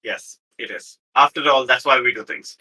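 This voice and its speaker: Bright, sharp-voiced man, wryly recapping. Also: mid-range voice, moderate pace, low-quality recording. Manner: mock-serious, wry understatement. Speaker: tabletop role-playing player. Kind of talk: casual comic recap of a game session.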